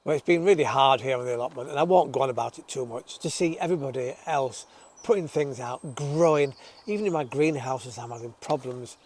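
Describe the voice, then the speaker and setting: A man hosting an allotment gardening show outdoors, chatting cheerfully to viewers and reading their comments, his voice still a little croaky after an illness. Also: mid-range voice, brisk, darkish tone. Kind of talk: casual chat to camera. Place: outdoors on an allotment, birdsong around.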